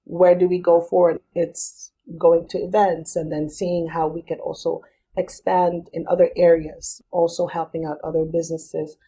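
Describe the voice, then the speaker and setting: A woman's voice: lowish voice, level tone, moderate pace, mellow, slightly rough, low-quality recording. A young adult woman and business founder, a podcast guest, explaining things step by step in a thoughtful, casual way. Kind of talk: casual interview.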